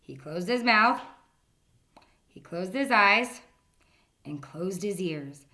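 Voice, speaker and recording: A woman reading a children's picture book aloud in a gentle storytelling manner, sometimes dropping to a whisper. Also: medium pitch, lively intonation, takes it slowly. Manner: gentle storytelling, drops to whispers for the quiet parts.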